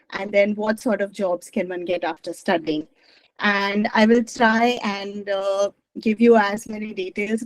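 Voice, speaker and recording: A woman's voice: medium pitch, dry recording, rough recording. A middle-aged woman whose first language is Gujarati, giving an academic talk over a video call in a rambling, self-correcting way. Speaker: guest speaker, academic. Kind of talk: online presentation.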